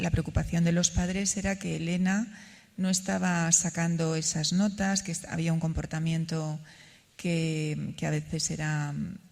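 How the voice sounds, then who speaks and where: A panelist calmly explaining a research case in answer to a question. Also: mid-range voice. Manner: measured, explanatory. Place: large hall. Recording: echoey hall.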